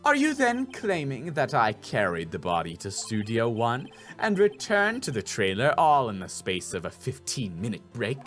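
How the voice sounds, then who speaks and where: A man voicing a sly, composed villainous female producer in a video game, taunting and deliberate. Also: mid-range voice, wildly swooping pitch, fairly bright tone, faint music behind, voice pushed down. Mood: challenging.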